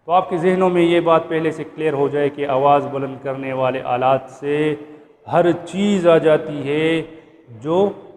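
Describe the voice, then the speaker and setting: A man's voice: a little high, unhurried, darkish tone. A man with a Pakistani accent giving an explanatory law lecture, didactic, repeating points and checking that listeners follow. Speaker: law instructor. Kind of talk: explanatory lecture going through a statute clause by clause. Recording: reverberant room with background noise.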